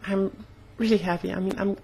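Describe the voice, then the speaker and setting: A young woman talking casually in a personal vlog, slightly hoarse, with an earnest, halting way of speaking. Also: medium pitch, steady tone, darkish tone, slight background noise. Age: young adult. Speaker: vlogger.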